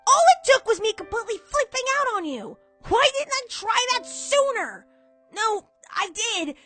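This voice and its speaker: Brash young cartoon pony girl, super high n sharp, dramatic emphatic fan audio drama thing. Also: big pitch swings, normal speed, no echo, faint music behind, full character voice. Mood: elated, excited. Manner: emphatic, emotional, impulsive. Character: brash, excitable young female pegasus pony, dramatic and boastful. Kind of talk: animated fan-fiction audio drama acting.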